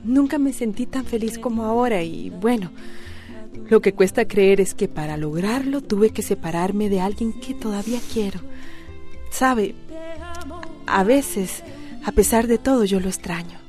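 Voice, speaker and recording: An actress plays a wistful woman confiding her feelings in a radio soap. Her medium-pitched voice, with faint music underneath. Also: expressive, a little slow, a little mellow, lightly acted. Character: wistful, newly independent woman confiding lingering love. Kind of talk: Spanish-language radio soap acting.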